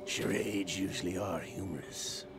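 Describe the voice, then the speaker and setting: A man playing a smug older spy in a video game, speaking in a measured, mocking way with a gravelly voice. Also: low voice, steady tone, over loud music. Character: smug older male spy revealing his scheme. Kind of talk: video game cutscene acting. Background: dramatic game score.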